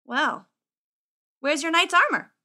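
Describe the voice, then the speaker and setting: A woman's voice: high-pitched, animated, slow pace, bright, forceful tone, voice pushed up, full character voice. A woman voicing a cheeky, boastful teenage boy wizard in a storybook, grandiose and chatty. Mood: friendly, curious. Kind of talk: audiobook character acting.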